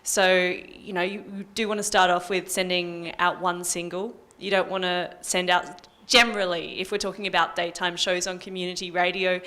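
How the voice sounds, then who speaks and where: A woman giving practical, measured advice in casual panel talk. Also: fairly low pitch, fairly even intonation, pushed and bright. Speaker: community radio panelist.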